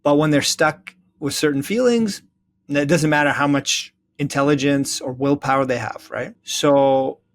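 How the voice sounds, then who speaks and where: A man's voice: a little high, unhurried. A man speaking as an interview guest, reflecting in an earnest, thoughtful and explanatory way. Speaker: interview guest, therapist and author.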